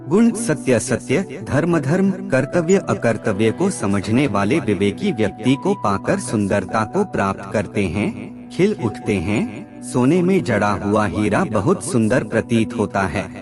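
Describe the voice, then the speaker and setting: A man narrates in a fairly low voice with very lively, swinging intonation. He recites scripted wisdom in a measured, formal way, with music clearly playing behind him. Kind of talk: scripted narration of moral aphorisms. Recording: music bed under the voice. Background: background music.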